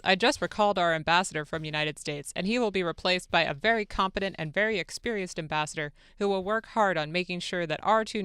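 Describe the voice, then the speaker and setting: Radio producer as a bright, eager, flattering president. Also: mid-range voice, clean tone, no room sound, clean studio sound. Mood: earnest assurance. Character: eager, flattering, deferential male foreign president. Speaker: radio producer reading a part. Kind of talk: dramatic reading of a phone-call transcript.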